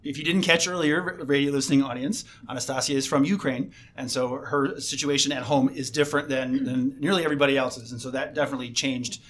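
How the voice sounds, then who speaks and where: A man's voice: mid-range voice, fairly even intonation. A man hosting a school radio interview show, talking casually with plenty of fillers and restarts. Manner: halting, many fillers and restarts. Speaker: host, assistant principal.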